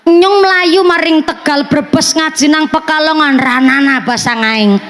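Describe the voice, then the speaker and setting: A woman preacher with a Banyumasan Javanese accent giving an animated, joking sermon, switching between Javanese and Indonesian. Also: very high-pitched. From Central Java. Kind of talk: religious sermon.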